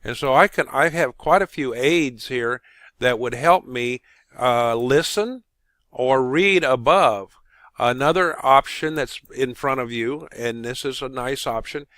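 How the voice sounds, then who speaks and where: A man presenting an informal screen-recorded tutorial, talking haltingly as he thinks aloud through each step. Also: mid-range voice, fairly bright tone, clean tone, dry recording.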